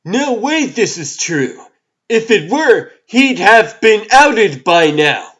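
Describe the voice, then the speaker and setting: A man voicing an excitable young male news photographer in a comic dub, eager and incredulous. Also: high-pitched, slow pace, heavily acted.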